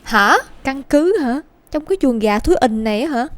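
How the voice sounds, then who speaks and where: A woman's voice: high-pitched, animated, normal speed. A woman voicing a whiny, skeptical, game-obsessed schoolboy in a cartoon, grumbling and exclaiming in a southern Vietnamese accent. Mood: incredulous. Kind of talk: animated cartoon dialogue. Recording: music clearly behind the voice.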